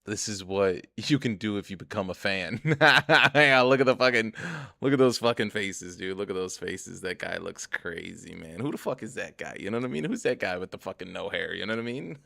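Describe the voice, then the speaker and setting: A man's voice: lowish voice, expressive, moderate pace, gravelly, pushed and bright, no echo, clean studio sound. A young man streaming a game, rambling and swearing as he chats to viewers about himself. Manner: rambling, profane, chatty, often laughs. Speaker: gaming streamer. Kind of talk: casual gameplay commentary.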